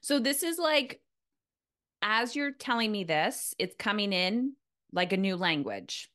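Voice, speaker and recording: A woman's voice: medium pitch, animated, unhurried, bright, forceful tone. A woman hosting a casual interview in a motivational coaching style, emphatic and repeating phrases for weight. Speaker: podcast host, coach.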